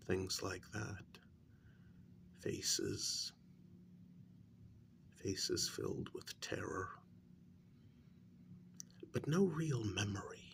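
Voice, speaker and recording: Man acting as a creaky, soft-spoken calm researcher. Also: on the low side, a little hiss or hum, full character voice. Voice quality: breathy, near-whispered, creaky. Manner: gentle, deliberate, soothing, with pauses. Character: calm clinical male researcher gently interviewing a werewolf patient. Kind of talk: soft-spoken ASMR role-play.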